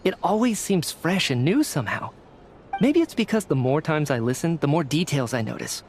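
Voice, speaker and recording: Animated, flustered, earnest fanboy. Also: male voice, a little high, normal speed, a little hiss or hum. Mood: earnest, admiring. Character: eager, talkative, schedule-bound theater fan, an energy expert. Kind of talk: video game voice acting. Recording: music under the voice.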